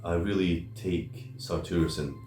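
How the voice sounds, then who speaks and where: A man around thirty with a low voice chats reflectively as a guest. The room echoes, and faint music sits behind him. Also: steady tone, measured pace, a little mellow. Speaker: returning guest. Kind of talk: reflective casual discussion. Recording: roomy echo, faint music.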